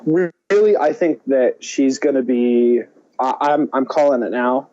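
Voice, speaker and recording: A man chatting casually as a podcast co-host, with a mellow, mid-pitched voice. He talks haltingly and circles back to restart his thoughts, on a slightly muffled recording. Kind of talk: casual film chat.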